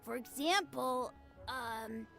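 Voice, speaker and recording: Game child: high, naive, self-important little would-be martial hero, friendly and eager. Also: female voice, slow pace, vocal fry, music in the background, exaggerated voice. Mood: eager, matter-of-fact. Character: boastful little child playing a kindly martial arts master. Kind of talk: English video game voice acting.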